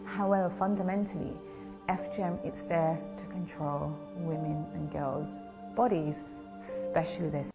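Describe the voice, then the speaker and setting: A woman giving a personal testimony in a quiet, measured, grave way. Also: deep voice, fairly even intonation, gravelly, over loud music.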